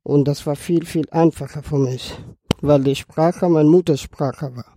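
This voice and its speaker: Young man, 23, Afghan accent, native Dari speaker. Slow, slightly higher-pitched delivery, personal podcast account. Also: fairly even intonation, dark tone, slight background noise. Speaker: podcast participant telling his life story.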